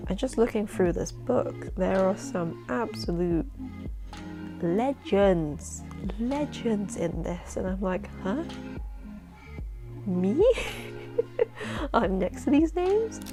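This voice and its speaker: A young woman with a London accent and a husky voice, chatting excitedly to camera in a casual vlog about her work as a poet. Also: mid-range voice, over music. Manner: chatty, excitable, quick asides and laughs. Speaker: poet and vlogger. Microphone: camera-mounted shotgun mic.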